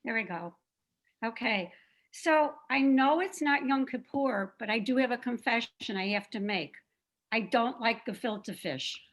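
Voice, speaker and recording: A woman with a fairly high voice tells a personal story from her family life. Her delivery is conversational and confiding, like a prepared piece read to a group. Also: unhurried, a bit bright. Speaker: participant sharing a family memory.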